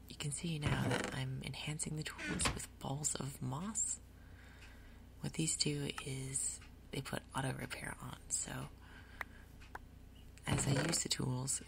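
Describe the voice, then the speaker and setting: A woman hosting a gaming video in a soft, breathy ASMR whisper, explaining things gently and without hurry. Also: a bass voice, little pitch movement, unhurried, very noisy. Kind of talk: whispered ASMR game commentary.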